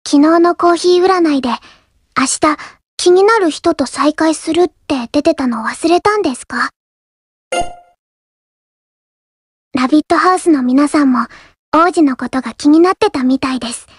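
Woman acting as young anime girl, very high voice, soft-spoken, shy, polite, earnest, dry close studio sound, mobile game lines. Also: normal speed, no room sound, close to an everyday voice. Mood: reserved. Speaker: game character voice actor.

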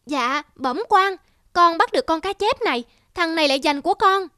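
A woman's voice: very high voice, animated, normal speed, sharp tone, no echo, studio quality, heavily acted. A woman voicing a cheeky, stubborn young village boy in a cartoon, whining and pleading. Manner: whiny, pleading.

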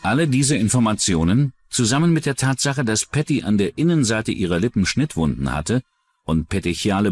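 A man's voice: fairly low pitch, darkish tone, slightly rough. A man narrating a true-crime documentary from a script as its narrator.

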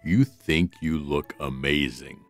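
Man voicing a very deep, gruff guard dog. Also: slow, faint music behind, heavily acted. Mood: stern, deadpan. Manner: deadpan, gruff. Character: big gruff, world-weary male guard dog. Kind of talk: comic dub cartoon acting.

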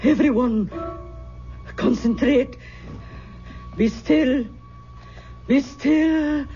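Slow-spoken, anxious aunt. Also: female voice, high voice, dark tone, faint music behind. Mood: solemn, trancelike. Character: anxious, devout older aunt who acts as medium. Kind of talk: 1970s radio drama acting. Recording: dull 1970s radio broadcast recording.

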